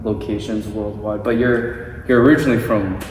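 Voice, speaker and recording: A man's voice: on the low side, steady tone, normal speed. A young man hosting a podcast while cutting hair, asking loose, rambling questions full of "like". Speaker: podcast host and barber. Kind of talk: casual interview. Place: barbershop. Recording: echoey room, distant mic, faint noise.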